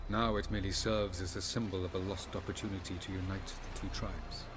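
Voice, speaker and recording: An actor playing a learned, aloof male elf sage who explains old legends in a measured, slightly condescending way. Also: sits low, little pitch movement, heavy background noise, close to an everyday voice. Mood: lecturing. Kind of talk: video game cutscene acting.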